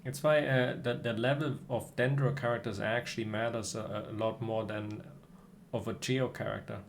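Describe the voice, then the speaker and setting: Halting male game streamer over background noise. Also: fairly low pitch, steady tone, creaky. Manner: hesitant, halting, thinking aloud. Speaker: game livestreamer. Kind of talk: casual livestream chat about game strategy.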